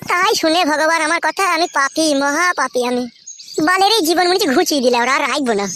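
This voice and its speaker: A woman's voice: high voice, fast talker, a bit bright, slight background noise. A woman voicing a crude, whiny, hapless young village man in a comic cartoon, grumbling and complaining. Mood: self-pitying. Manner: grumbling, foul-mouthed, whining complaints. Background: music.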